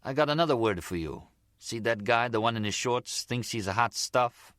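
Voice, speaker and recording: A male audiobook reader acts out a boastful mob killer from a crime novel. He talks in blunt, broken English with cocky menace, in a forceful, animated voice recorded very dry. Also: mid-range voice, normal speed, dry recording, voice pushed up, close to an everyday voice.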